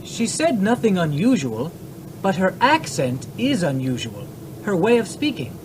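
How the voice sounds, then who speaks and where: A man's voice: high voice, big pitch swings, unhurried, background noise. A man playing an inquisitive Greek visitor on a road trip in a scripted English-learning radio drama. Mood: attentive. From Greece. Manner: inquisitive, simply worded.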